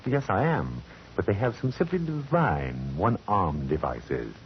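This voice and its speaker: A man's voice: fairly low pitch, very animated, a little slow. A man playing a theatrical, dandyish best friend in a 1940s radio drama, speaking in an arch, affected, pompous way. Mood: arch, sly. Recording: muffled, noisy 1940s radio broadcast.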